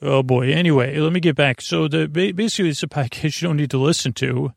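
A man hosting a podcast in a casual, meandering monologue, halting often and trailing off mid-thought. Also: fairly high pitch, creaky, no echo, studio quality. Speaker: podcast host.

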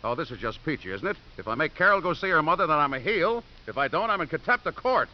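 A man's voice: fairly high pitch, sing-song, fast talker. An actor playing a brash, scheming football coach and devoted father in a wisecracking, sarcastic 1950s radio drama style. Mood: sardonic, defensive. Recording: dull, noisy old radio recording.

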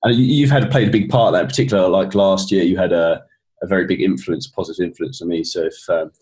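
A man hosting a fitness podcast, chatting in a friendly, halting way with plenty of fillers. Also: sits low, a little mellow. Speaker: podcast host and fitness coach. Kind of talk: casual podcast interview.

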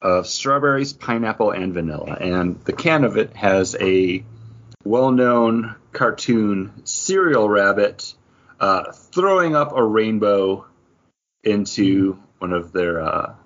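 A man's voice: lowish voice, lively intonation, slow pace, fairly bright tone. A man co-hosting a podcast in a casual, conversational way, describing things as he chats. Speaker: podcast co-host. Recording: slightly muffled, lo-fi audio.

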